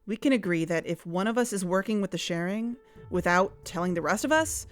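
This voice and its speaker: A woman in scripted audio drama dialogue, earnest and worried, sometimes rushing her words. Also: mid-range voice, animated, no echo. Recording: music bed underneath.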